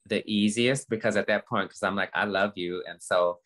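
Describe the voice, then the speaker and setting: A woman in her fifties speaks candidly in a conversational interview. Her delivery is earnest and halting, and she circles back to repeat words for emphasis, in the manner of an informal motivational talk. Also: extremely low pitch, fairly even intonation. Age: 50s. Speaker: guest.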